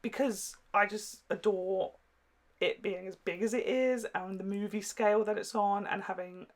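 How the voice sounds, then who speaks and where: A man's voice: high-pitched, steady tone, moderate pace. Young man, casual enthusiastic reaction host.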